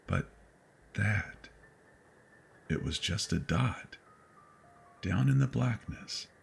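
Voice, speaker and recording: A man narrating a horror story in the first person, with deliberate, suspenseful delivery and dramatic pauses. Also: extremely low pitch, vocal fry, slight background noise.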